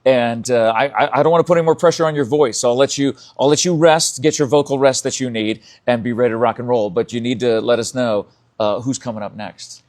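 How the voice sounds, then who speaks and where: A man's voice: medium pitch, quick pace, a little mellow. A man hosting a casual, upbeat live interview as a radio host.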